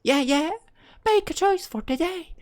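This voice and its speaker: A woman voicing an earnest high school girl from a visual novel, in an airy anime style. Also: expressive, a little slow, darkish tone. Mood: brisk, cheerful.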